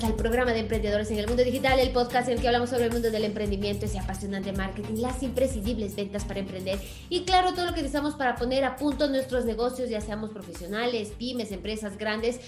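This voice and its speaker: High-voiced woman podcast host, roomy sound. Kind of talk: upbeat podcast presenting and interviewing. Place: small indoor room, on a video call. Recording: roomy, echoing room sound.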